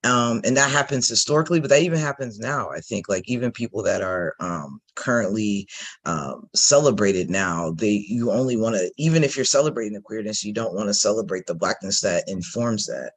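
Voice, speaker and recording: A man speaking as a panelist in a conversational discussion, phrasing thoughts in a thoughtful, measured way. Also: lowish voice, lively intonation, slightly rough.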